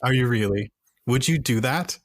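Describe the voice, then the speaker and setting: A man's voice: medium pitch, moderate pace, natural voice. A man voicing a charming, self-assured female alien smuggler who coaxes people in a tabletop game. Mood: coolly persuasive. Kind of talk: tabletop roleplay acting.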